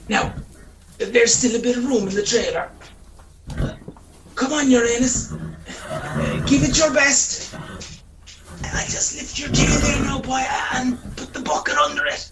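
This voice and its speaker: A man playing a scheming, excitable Irish countryman in a radio play, talking in a colloquial, cajoling way. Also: very high voice, a little slow, long pauses, noisy background, full character voice. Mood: coaxing, sly. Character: scheming, excitable Irish countryman sneaking about a horse farm. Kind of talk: radio play acting and first-person storytelling.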